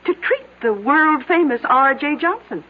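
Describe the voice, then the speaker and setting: A woman's voice: sits high, lively intonation, a little slow. An actress playing a bold, sly small-town American woman, politely accusing, in a radio drama. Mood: folksy, teasing. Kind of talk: 1970s radio drama acting. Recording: old tinny narrow-band radio, faint music.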